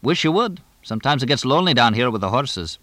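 A man voicing a fast-talking English horse trainer in an audiobook, drily blunt, chatty and deadpan in a clipped way. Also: medium pitch, no room sound, voice pushed up. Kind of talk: audiobook character dialogue.

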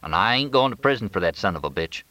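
A man's voice: on the low side, expressive, fast talker, bright, forceful tone, no echo. A man voicing a wry, complaining young working man with folksy, slangy talk in an audiobook. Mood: uneasy.

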